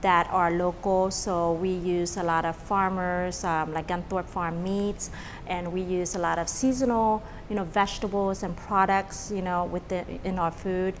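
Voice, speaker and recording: A woman's voice: on the low side, fairly even intonation, a little slow, big-room sound, a little hiss or hum. A middle-aged woman from Vietnam, a restaurant owner chatting casually in an interview, with many fillers and restarts. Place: restaurant dining room.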